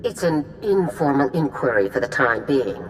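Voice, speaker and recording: A woman's voice: rumbling low, sing-song, moderate pace, a little hiss or hum, rough recording. A woman acting a stern middle-aged female general in a video game, clipped, formal and authoritative. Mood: grave, pressing. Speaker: military commander. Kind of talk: scripted game dialogue.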